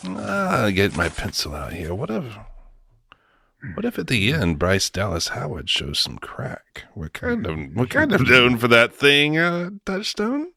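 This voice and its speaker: A man playing an eager, slow-witted movie studio man brainstorming in a comic radio sketch. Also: medium pitch, huge pitch swings, gravelly, bright, forceful tone, dry recording, heavily acted. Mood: casual brainstorming. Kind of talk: comic audio sketch acting.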